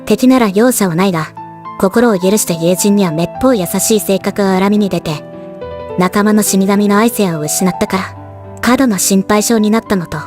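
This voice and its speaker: A woman voicing a stoic, battle-hardened female death god in an anime drama, with measured, composed dramatic delivery. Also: medium pitch, darkish tone, faint music behind, natural voice. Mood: reflective. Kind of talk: anime voice drama, scripted narration and dialogue.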